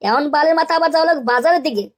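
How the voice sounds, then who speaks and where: A man voicing a lazy, irritable grown son in a comic cartoon, grumbling and swearing in a pitched-up voice. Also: rapid-fire. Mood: irritated.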